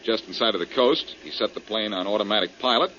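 A man's voice: lowish voice, expressive, fast talker, pushed and bright. A man playing a cool, clever amateur detective in an old radio mystery, wry and self-assured. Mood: explaining. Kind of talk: 1940s radio crime drama acting. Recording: muffled, noisy old-time radio recording.

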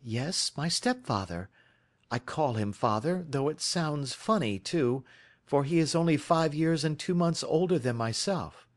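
A man's voice: a little high, expressive, unhurried, voice pushed up. A man reading aloud, voicing a flustered, earnest young woman who talks eagerly about her troubles.

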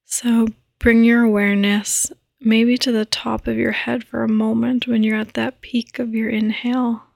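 A woman gently guiding a sleep meditation in a soft, breathy, soothing voice that often nears a whisper, close to the microphone. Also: fairly high pitch, level tone, moderate pace, dry recording. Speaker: podcast host and meditation guide. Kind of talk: guided meditation, sleep podcast.

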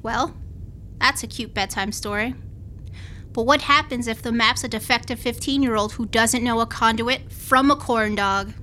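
A woman's voice: fairly high pitch, normal speed, pushed and bright, a little hiss or hum. An actress playing a cynical, defiant young woman with a sardonic, combative manner in an audio drama. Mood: sarcastic.

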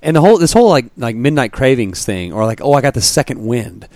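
A man's voice: mid-range voice, big pitch swings, quick pace, a little mellow, dry recording. A man hosting a podcast, interviewing in a casual conversational way. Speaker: podcast host.

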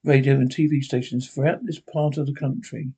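A man reading an emergency radio news bulletin in a measured, formal way. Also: medium pitch, steady tone, dark tone, husky.

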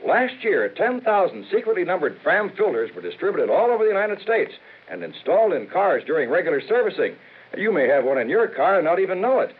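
An actor playing a chatty service station attendant in a scripted 1950s radio commercial, folksy and salesy. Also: male voice, sits high, big pitch swings, fairly quick, breathy edge, full character voice. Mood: eager. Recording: tinny, phone-like 1950s radio recording.

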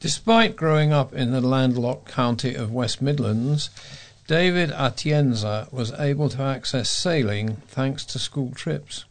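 A man reading scripted local news as a volunteer newsreader, in a measured way. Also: mid-range voice.

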